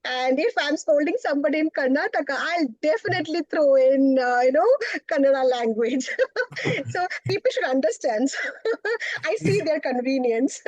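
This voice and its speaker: A middle-aged woman from Bengal with an Indian English accent, a poet and professor speaking as a guest, often laughing and thoughtful with pauses. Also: high-pitched, lively intonation, a little mellow, no room sound, dull audio. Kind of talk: interview conversation.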